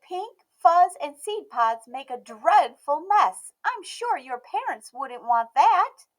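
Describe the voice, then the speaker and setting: A woman's voice: very high voice, very animated, slow, voice pushed up, full character voice. A woman voicing a polite but discouraging lady shopkeeper in a storybook, prim and sweetly apologetic. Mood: polite, dismissive.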